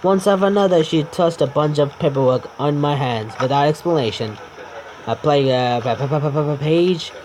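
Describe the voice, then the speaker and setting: Man reading slightly high. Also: normal speed, darkish tone, a little hiss or hum, rough recording. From India. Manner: stumbles and repeats words, mutters asides. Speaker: gaming YouTuber reading a visual novel aloud. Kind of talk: read-aloud game narration with asides.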